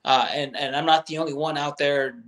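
A man's voice: fairly high pitch, fairly even intonation, fairly quick, fairly bright tone. A man in his thirties, a guest business owner, talking bluntly and with lots of swearing in a candid chat over a video call from a bedroom at home. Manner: blunt, profane, emphatic.

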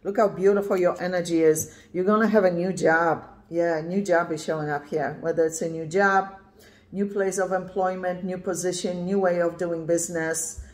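A woman in her fifties, originally from Poland, talks through a tarot reading to a camera pointed at her cards. Her voice sits on the low side, and she speaks emphatically, often checking in with "right?". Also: steady tone, normal speed. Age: 50s. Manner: emphatic, repeats "yeah" and "right?". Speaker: tarot reader. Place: indoors at a card table, camera on the cards.

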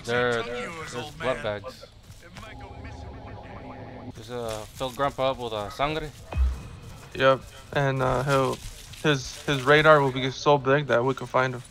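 A young man casually chatting and swearing with friends while playing a horror game, excitable and loose. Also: mid-range voice, slow, long pauses, faint music behind. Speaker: gaming streamer. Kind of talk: casual gameplay chat with friends.

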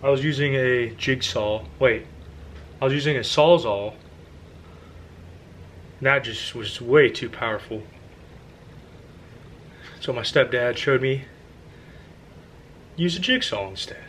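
A man's voice: mid-range voice, takes it slowly, long pauses, a bit bright, slight background noise. A young man vlogging casually about his own truck build, chatty and self-deprecating, filmed on a handheld phone in his home garage. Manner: self-deprecating, chatty, talks to camera while working. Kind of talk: casual DIY vlog.

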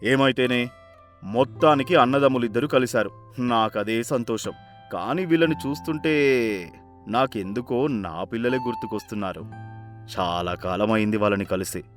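A man's voice: medium pitch, normal speed, faint music behind, close to an everyday voice. A man playing an authoritative, caring older village father who instructs and scolds in a cartoon moral story. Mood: reflective. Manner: firm, instructive. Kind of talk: animated moral-story voice acting.

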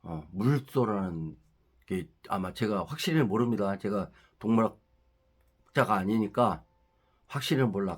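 A man's voice: lowish voice, slightly rough. A middle-aged man with a stuffy head cold, ranting casually about politics with rhetorical questions. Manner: ranting, rising heated at points with rhetorical questions. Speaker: political commentary channel host.

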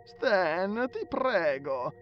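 A man's voice: high voice, wildly swooping pitch, unhurried, faint music behind, voice pushed up, heavily acted. A man voicing young amateur videographers in a story, theatrical and exasperated in their banter. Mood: whining. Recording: dull lo-fi phone-video sound.

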